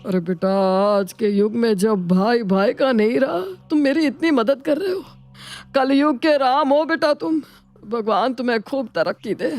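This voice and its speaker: A woman voicing an elderly, grateful uncle in an animated moral story, speaking affectionately and repeating words effusively. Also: on the high side, measured pace, close to an everyday voice. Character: elderly, grateful, easily flattered village uncle.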